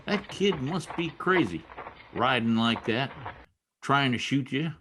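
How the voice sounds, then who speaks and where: An actor playing a loyal, fretful Old West deputy in a radio western, with a folksy rural drawl and deferential manner. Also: male voice, mid-range voice, animated, a little slow, pushed and bright, a little hiss or hum. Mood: worried.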